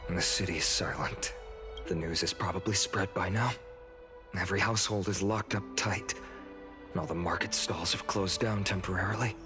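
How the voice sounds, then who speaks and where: An actor playing an earnest young male hero in a fantasy game, with a serious, measured delivery. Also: sits low, fairly even intonation, over music, close to an everyday voice. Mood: somber, concerned. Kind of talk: dramatic video game voice acting.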